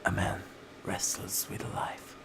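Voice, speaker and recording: A man hosting a game playthrough, reading a visual novel aloud like a dramatic storyteller and sometimes dropping to a breathy hush. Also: slow pace, in a whisper, poor audio. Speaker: let's play host. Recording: music playing loudly behind the voice. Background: game music.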